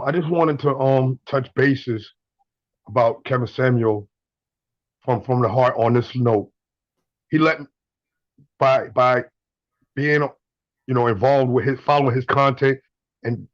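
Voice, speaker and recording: A man's voice: medium pitch, dry recording, lo-fi sound. A man in his twenties calling in to a show, telling blunt stories, swearing and repeating himself. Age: 20s. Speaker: caller. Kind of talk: casual call-in story.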